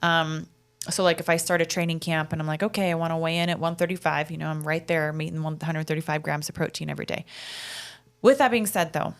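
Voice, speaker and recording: A woman in her thirties hosting a podcast interview, asking eager, curious questions and thinking out loud. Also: sits low, fairly even intonation, fast, smooth. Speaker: podcast host. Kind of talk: interview chat.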